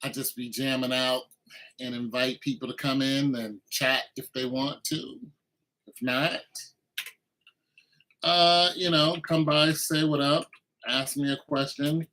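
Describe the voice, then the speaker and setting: A man's voice: medium pitch, steady tone, takes it slowly, pauses often, bright, forceful tone, poor audio. A man in his mid-fifties chatting casually and rambling to viewers on a livestream while cooking. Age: 50s. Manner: laid-back, rambling, talks to viewers. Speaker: livestream host.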